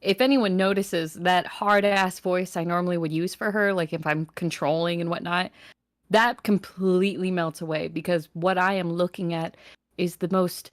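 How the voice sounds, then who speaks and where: A woman's voice: on the low side, fairly even intonation, moderate pace, no room sound. Playful woman guest, dry close sound. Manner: giggly, playful, blunt. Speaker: voice actor guest. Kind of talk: casual chat, answering interview questions.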